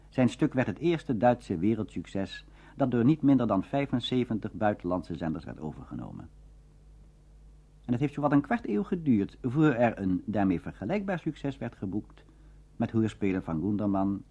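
A formal man reads a scripted documentary lecture. His voice is low and husky with lively rises and falls, on a slightly dull recording. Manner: formal, measured. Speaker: narrator.

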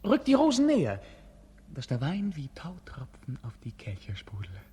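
An actor playing a bored, capricious young prince in a 1950s German radio play, theatrical, poetic and mocking. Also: male voice, fairly high pitch, huge pitch swings, moderate pace, mellow, breathy edge, heavily acted. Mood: imperious, then languid, dreamy.

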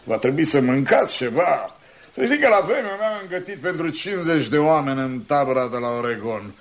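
An actor playing a loyal, plain-spoken helper in a radio drama, hearty and sincere. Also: male voice, fairly high pitch, big pitch swings. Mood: sincere, eager. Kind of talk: Romanian radio drama acting.

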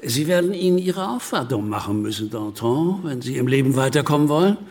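A man acts a smooth-talking politician in a radio drama. His medium-pitched voice has some gravel in it, and he needles and persuades, pausing between phrases. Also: lively intonation, a little mellow, natural voice. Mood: needling.

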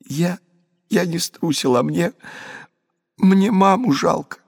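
A timid, homesick little schoolboy from a storybook, voiced by a man. The voice is lifted high, whimpering and halting, with stammered words. The sound is very dry. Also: vocal fry, no echo, exaggerated voice. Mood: tearful pleading. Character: timid tearful young schoolboy, reluctant and homesick.

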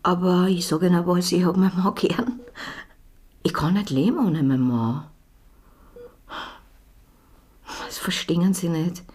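An actress in a radio drama playing a troubled, devoted wife and mother under questioning, with an Austrian accent and a breaking, halting delivery. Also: fairly low pitch, darkish tone, slightly rough. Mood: defiant, devoted. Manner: halting, repetitive, emotionally fragile. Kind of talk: naturalistic radio drama acting.

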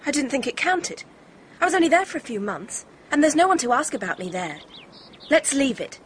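A polite, nervy young woman speaks quickly in a slightly high voice, with faint noise behind her. She sounds reserved, in a radio drama style. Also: big pitch swings. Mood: uneasy.

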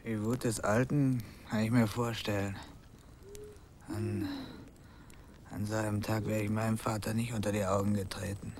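A man's voice: lowish voice, level tone, moderate pace, darkish tone, slight background noise, lightly acted. An actor playing an attentive man commenting on a grim tale in a conversational radio play scene. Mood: reflective.

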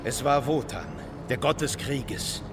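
An actor playing a wry diarist who recounts a spectacle with relish, in a deliberate, florid style for a radio play. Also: male voice, mid-range voice, over music, natural voice. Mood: amused, grandiose. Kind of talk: diary-like narration in a radio docudrama.

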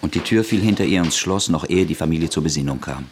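A man with a husky voice reading scripted literary narration as the narrator of a radio drama. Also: rumbling low, quick pace, noisy background.

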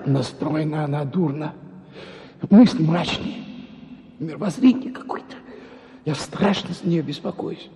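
A man's voice: fairly high pitch, a little slow, pauses often, dark tone, breathy edge, full character voice. An actor playing a doting, henpecked husband who coos, apologizes and frets as he narrates. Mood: fretful. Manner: cooing, apologetic, anxious. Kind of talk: radio play acting and narration. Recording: dull lo-fi with faint music.

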